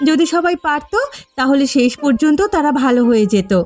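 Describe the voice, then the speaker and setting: Woman playing respectful village woman, higher pitch, gentle, earnest, cartoon drama, faint music underneath. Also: animated, brisk. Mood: respectful, consoling. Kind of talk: Bengali cartoon voice acting.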